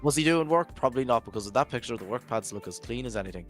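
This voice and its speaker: A Scottish man commentating a virtual drift event in a jokey, rambling way, his voice worn and going hoarse. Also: mid-range voice, expressive, racing, faint music behind. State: losing his voice. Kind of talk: live esports commentary.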